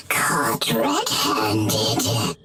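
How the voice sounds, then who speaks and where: A woman voicing a scheming assassin villain in an anime game, with theatrical, drawn-out sneering. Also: a bass voice, heavy background noise, exaggerated voice. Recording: music playing behind.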